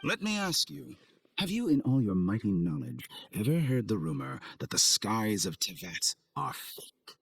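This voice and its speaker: An actor playing an arrogant villain scientist in a game, with a measured, condescending, theatrical delivery. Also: male voice, lowish voice, big pitch swings, vocal fry, slightly rough, heavily acted. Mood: sly, persuasive. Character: suave, arrogant male villain scientist, coldly amused schemer. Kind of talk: video game cutscene acting. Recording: studio voice over game music.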